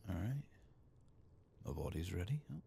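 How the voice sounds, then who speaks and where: A man with a very low, gravelly voice gives soft-spoken ASMR commentary over a video game. He murmurs in a hushed, low-key way, slipping into whispers, with widely swinging pitch and steady noise behind him. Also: normal speed.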